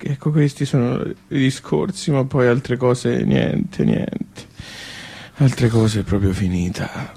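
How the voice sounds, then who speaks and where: An actor playing a glum, stubborn old husband with a Tuscan accent, rambling colloquially in a comic stage monologue. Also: male voice, mid-range voice, slow pace, creaky, dark tone, slightly rough, heavily acted. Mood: glum, resigned. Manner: rambling, colloquial, punctuated with questioning tags. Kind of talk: comic theatrical monologue and dialogue.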